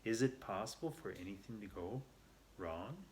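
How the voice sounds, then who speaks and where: Guy reading the part of a confident storybook hero, earnest, lowish voice, faint noise. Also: lively intonation, normal speed, lightly acted. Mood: contented. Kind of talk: storybook character voice.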